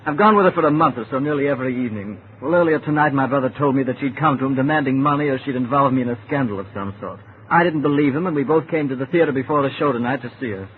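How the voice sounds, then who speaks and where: An actor playing an anxious, defensive murder suspect explaining himself earnestly in an old-time radio drama. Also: male voice, mid-range voice, very fast, natural voice. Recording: tinny, noisy old radio recording.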